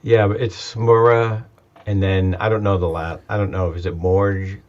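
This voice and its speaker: Boston guy leading the chat, low voice, joking around, slightly muffled audio. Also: level tone, moderate pace. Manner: jokey, self-deprecating. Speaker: discussion leader. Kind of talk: casual chat.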